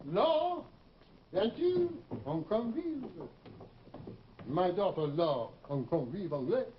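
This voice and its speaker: A man's voice: high-pitched, big pitch swings, slow pace, heavily acted. An actor playing a courteous, easily flustered French innkeeper and doting father in an old radio drama, speaking with a French accent and formal hospitality. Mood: effusive, flustered. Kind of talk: 1940s radio drama acting. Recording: dull, noisy old radio recording.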